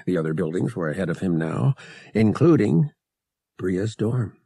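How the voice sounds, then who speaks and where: Gravelly, expressive man narrating an adventure audiobook. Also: low-pitched, normal speed, no echo, clean studio sound. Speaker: audiobook reader. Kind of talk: dramatic scripted narration of an adventure novel.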